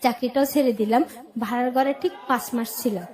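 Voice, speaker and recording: A woman's voice: a little high, fairly even intonation, brisk, darkish tone. A woman with a Bangladeshi accent telling her personal life story as a radio guest, in plain colloquial short phrases.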